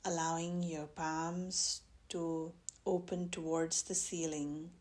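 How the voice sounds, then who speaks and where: A woman with a slightly low voice leads a guided meditation. She speaks very slowly and gently, leaving long pauses between measured phrases. She sounds like a mindfulness teacher giving careful instructions. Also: level tone.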